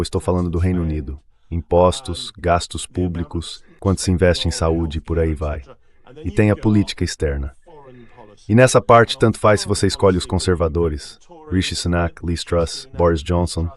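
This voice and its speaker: A man speaking as an interview guest, commenting on politics in a conversational, emphatic way that leans on repetition. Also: low voice.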